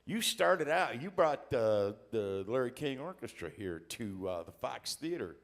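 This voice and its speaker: A man's voice: medium pitch, sing-song, a little slow. A man hosting a live talk show, welcoming guests with upbeat, joking announcer patter. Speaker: host.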